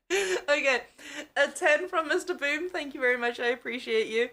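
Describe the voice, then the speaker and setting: A woman's voice: high voice, unhurried, bright, forceful tone. Giggly young woman with a South African accent. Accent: mixed South African. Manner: giggly, chatty, swears freely. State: tired. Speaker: livestream host. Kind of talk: casual live chat with viewers.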